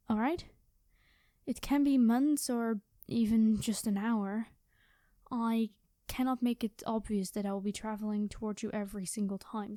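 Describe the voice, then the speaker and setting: A woman's voice: a little high, dark tone, no echo, clean studio sound, natural voice. A woman playing a cheeky, secretive young time traveler in a casual, chatty ASMR storytelling roleplay. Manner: casual, chatty, conspiratorial, playful.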